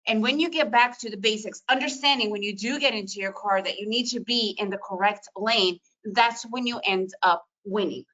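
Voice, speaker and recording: Energetic bright-voiced woman trading coach. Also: mid-range voice, moderate pace, few pauses, low-quality recording. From Soviet Union. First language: Russian. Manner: energetic, emphatic, repetitive phrasing. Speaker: trading educator. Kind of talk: webinar presentation.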